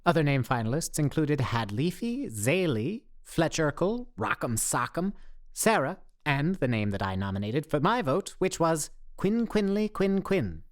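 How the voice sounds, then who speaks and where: A man's voice: fairly high pitch, very animated, measured pace. A man acting a pompous, mock-official oddball survivor. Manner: mock-official, verbose, self-important. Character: pompous oddball young man narrating his survival log. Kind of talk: radio play acting.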